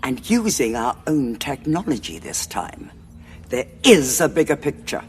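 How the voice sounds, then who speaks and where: An actress playing a cold, scheming woman cardinal of a war council, speaking in a measured, aloof, authoritative way. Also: low-pitched, huge pitch swings, slight background noise, heavily acted. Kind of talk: audio drama acting. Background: music score.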